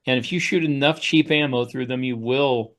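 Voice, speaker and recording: A man's voice: mid-range voice, normal speed, a bit bright. A middle-aged man co-hosting a podcast, telling anecdotes in casual conversation. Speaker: podcast co-host.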